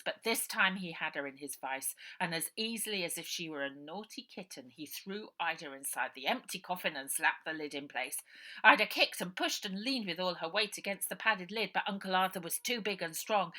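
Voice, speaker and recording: A woman narrating her own children's novel in an expressive, suspenseful way, often dropping to a breathy near-whisper. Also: on the low side, normal speed. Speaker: author reading own novel. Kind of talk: dramatic children's audiobook narration.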